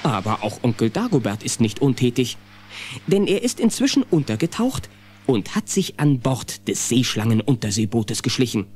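A man narrating a scripted children's audio play as an animated storyteller. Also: mid-range voice. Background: music.

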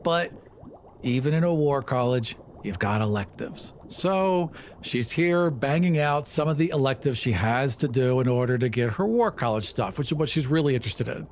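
A man's voice: medium pitch, expressive, normal speed, slight background noise. A man on a podcast panel, telling things in a casual, conversational way as a tabletop player.

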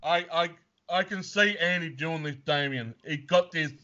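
An Australian man hosts a casual chat, his questions relaxed and full of restarts. His voice sits slightly high. The call line sounds dull. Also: unhurried. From New South Wales, Australia. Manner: relaxed, friendly, stumbling questioner. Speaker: podcast host. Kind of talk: casual video call interview. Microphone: video call.

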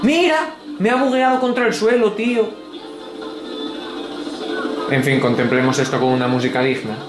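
Sarcastic gaming host, slightly high voice, echoey room, game music loud behind. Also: male voice, steady tone, moderate pace. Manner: sardonic, grumbling, mock-pompous. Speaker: gameplay commentator. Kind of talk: sarcastic ranting game commentary.

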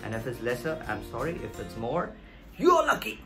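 A man's voice: medium pitch, wildly swooping pitch, moderate pace, fairly bright tone. Emphatic man over music. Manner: emphatic, repetitive, direct address to viewers. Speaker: video host giving career advice. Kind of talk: explainer vlog to camera. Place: small plain room indoors. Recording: music behind the voice.

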